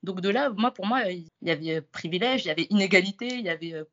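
A woman's voice: on the low side, animated, rapid-fire, fairly bright tone, dull audio. A woman in her twenties from France, a guest telling her story in a casual interview. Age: 20s.

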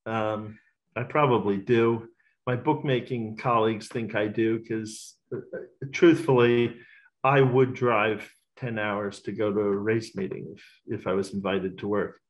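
A man's voice: lowish voice, fairly even intonation, a little slow, muffled recording. A middle-aged man, talkative and self-assured, giving casual interview answers as a racecourse bookmaker. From Australia.

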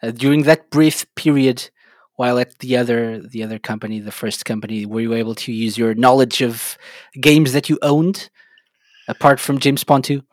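A man's voice: mid-range voice, normal speed, no room sound. Male host from Portugal, hesitant questioning style, dry close recording. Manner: hesitant, many "uh" fillers and restarts. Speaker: podcast host. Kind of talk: casual interview chat.

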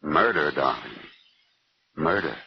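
An actor playing an urgent confidant giving warnings in a 1940s radio drama, insistent and dramatic. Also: male voice, rumbling low, animated, takes it slowly, creaky, background noise, exaggerated voice. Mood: grave warning. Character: urgent male confidant warning his darling. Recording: muffled old radio recording.

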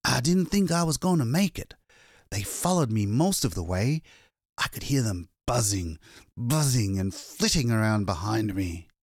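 A man's voice: mid-range voice, expressive, normal speed, no echo, studio quality, pitched above the performer's own voice. A man voicing a desperate, exhausted man who escaped a captured town, speaking breathless and urgent. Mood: frantic.

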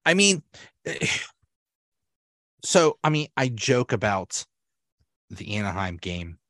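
A man hosting a sports podcast in casual chat, reacting with drawn-out exclamations. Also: fairly low pitch, no room sound, clean studio sound. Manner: slow, lively intonation, reacts with drawn-out exclamations. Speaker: podcast host.

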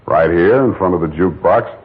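Cool deadpan detective man, lowish voice, terse, old radio show, tinny. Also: brisk, husky. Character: hard-boiled, cool, wry male private detective. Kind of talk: 1940s radio detective drama acting, first-person narration.

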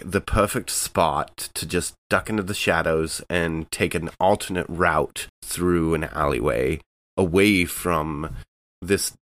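A man's voice: low voice, a bit bright, no room sound. A man talking casually as a player in a tabletop game, thinking aloud as he describes his moves. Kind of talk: casual improvised game talk.

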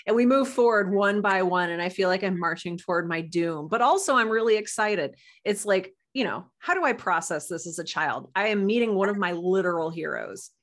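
A woman guest on a podcast, telling personal anecdotes in a casual, animated and halting way over a video call. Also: mid-range voice.

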